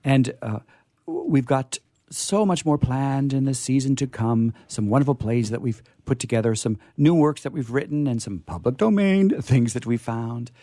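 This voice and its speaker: A man hosting a casual live discussion, with a hesitant, halting delivery. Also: medium pitch, expressive, warm tone, no room sound, studio quality. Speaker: host.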